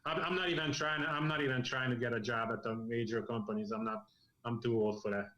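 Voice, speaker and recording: A man's voice: medium pitch, fairly even intonation, fast talker, fairly bright tone. 40s guy from hungary and the us, comic artist, rambly with lots of restarts, roomy echo. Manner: rambling, frank, with repeated restarts. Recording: echoey room.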